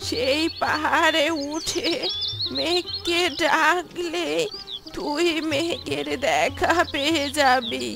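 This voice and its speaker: A kindly old grandmother bird, voiced by a woman for a children's cartoon. She speaks slowly and gently in a high, doting voice, with music underneath. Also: gravelly, exaggerated voice. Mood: gentle, explaining. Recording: music behind the voice.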